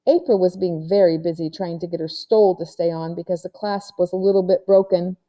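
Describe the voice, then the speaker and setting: Very mellow woman reading a children's story. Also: lowish voice, steady tone, brisk. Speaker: audiobook reader.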